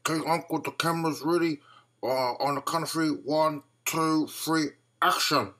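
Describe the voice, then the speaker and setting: A man playing an eager young nephew who films his uncle for a homework video, calling the shots in an excited, bossy way. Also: on the high side, normal speed.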